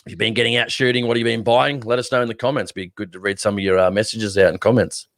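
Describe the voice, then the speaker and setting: A man's voice: fairly low pitch, steady tone, fast talker, fairly bright tone, dry recording, studio quality. An Australian man co-hosting a casual live stream. He talks bluntly and sarcastically and swears freely. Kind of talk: casual opinionated chat.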